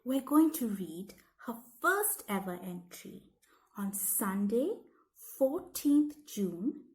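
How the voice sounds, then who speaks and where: A woman reading scripted educational narration aloud in measured, deliberate phrases, as for a school lesson. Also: mid-range voice, very animated, darkish tone.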